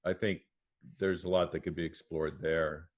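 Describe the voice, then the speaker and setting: A man's voice: extremely low pitch, level tone, normal speed, lo-fi sound. A man chatting casually as part of a voice actors' panel.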